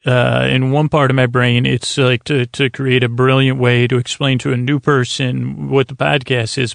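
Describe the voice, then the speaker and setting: A middle-aged man with a creaky voice hosting a bedtime podcast in a slow, lulling, meandering way, haltingly repeating words. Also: mid-range voice, dry recording. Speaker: podcast host. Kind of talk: rambling bedtime monologue for sleep.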